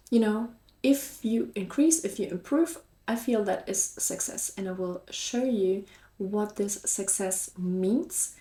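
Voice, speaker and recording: A woman's voice: mid-range voice, dark tone. A woman with a German accent talking casually to her viewers as a vlog host, in an upbeat, encouraging and chatty way. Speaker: YouTube host and online shop seller. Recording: echoey room.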